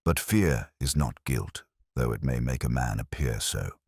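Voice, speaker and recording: A male narrator plays a calm police inspector in a storybook mystery. The voice is very deep and dry, with animated cadence. He speaks gently and deliberately, kindly but shrewd. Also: vocal fry, breathy edge, dry recording, heavily acted. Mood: gentle reassurance. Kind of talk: audiobook character dialogue.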